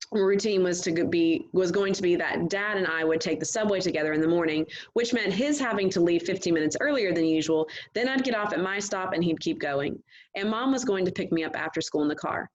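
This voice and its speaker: A woman reading a storybook aloud as an expressive narrator, on a slightly dull, lo-fi recording. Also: lowish voice, fairly quick. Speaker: read-aloud reader.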